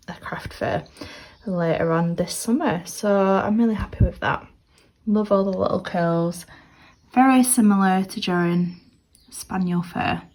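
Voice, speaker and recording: A woman's voice: on the low side, a little mellow. A woman, nasal and stuffed up from a cold, chatting casually as she tours her small home art studio, rambling with plenty of filler words. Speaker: artist vlogger. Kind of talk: casual studio tour vlog.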